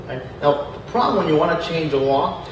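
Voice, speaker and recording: A young man who grew up in Montreal with Spanish and French as first languages, telling a personal story to an audience in an animated, self-deprecating way. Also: fairly high pitch, fairly quick, noisy background. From Montreal, Canada. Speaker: panel speaker. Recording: echoey hall, distant microphone.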